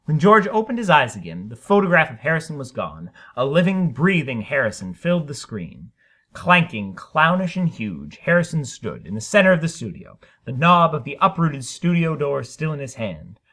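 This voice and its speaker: A man's voice: on the high side, very animated, a bit bright. A man narrating a short story as a dramatic reading, with theatrical, emphatic delivery. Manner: theatrical, emphatic, deliberate phrasing.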